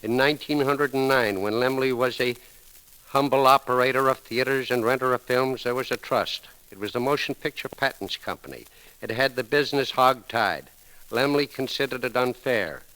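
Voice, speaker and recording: Forceful American man reading a solemn radio eulogy. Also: mid-range voice, normal speed, smooth. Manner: solemn, oratorical, reading from a script. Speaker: newspaper editor giving a eulogy. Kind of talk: formal scripted radio eulogy. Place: radio broadcast. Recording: crackly, sizzling 1930s radio recording.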